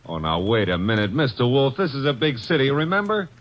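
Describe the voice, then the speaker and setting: This is a brash, wisecracking young sidekick, played by an actor in a vintage radio drama. His delivery is lively and swings up and down, and the voice comes through a muffled old recording. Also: mid-range voice, a little fast, close to an everyday voice. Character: wisecracking young male assistant detective. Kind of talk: 1940s radio drama acting.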